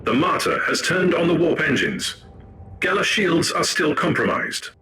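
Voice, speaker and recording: An actor playing an emotionless machine-priest in a sci-fi video game, speaking in a clinical, matter-of-fact way. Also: male voice, on the high side, sing-song, normal speed. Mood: clinical warning. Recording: noisy ambience behind the voice.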